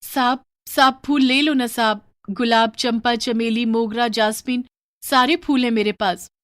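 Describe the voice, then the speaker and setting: A woman's voice: on the high side, fairly even intonation, unhurried, a bit bright, no room sound, clean studio sound, pitched above the performer's own voice. A woman voicing a young flower seller in a story, pleading and coaxing as she offers her wares. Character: pleading young flower-selling girl on the roadside.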